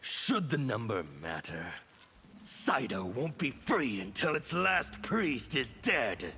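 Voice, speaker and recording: A man voicing a fanatical, defiant revolutionary warrior in a video game, with a gravelly, menacing, declamatory delivery. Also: mid-range voice, big pitch swings, slow pace, bright, forceful tone, slight background noise, exaggerated voice. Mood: menacing, bitter. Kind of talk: video game character dialogue.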